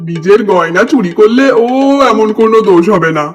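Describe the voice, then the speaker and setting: A man's voice: sits high, brisk, soft background music, lightly acted. A man voicing a fussy, exasperated but indulgent fairy-tale king in a theatrical children's cartoon. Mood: dismayed. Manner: theatrical, full of exclamations.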